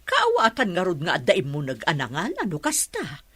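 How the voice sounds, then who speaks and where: Husky, swooping concerned mother. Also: fairly low pitch, huge pitch swings, brisk, fairly bright tone, exaggerated voice. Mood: worried. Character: concerned mother questioning her daughter. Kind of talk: radio drama acting.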